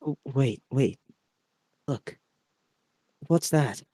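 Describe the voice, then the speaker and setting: A man's voice: medium pitch, drawn-out words, mellow, husky, dry recording, full character voice. A man playing a focused, impatient teenage wizard schoolboy in an amateur audio drama. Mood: urgent, playful. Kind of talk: hobby audio drama acting.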